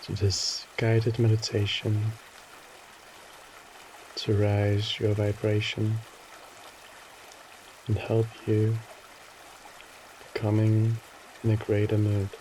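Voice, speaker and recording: Guy doing guided meditation, super slow and soothing, dark mellow voice, faint stream trickling behind. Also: fairly low pitch, completely flat delivery, slight background noise, poor audio. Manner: soothing, gently instructing, short pausing phrases.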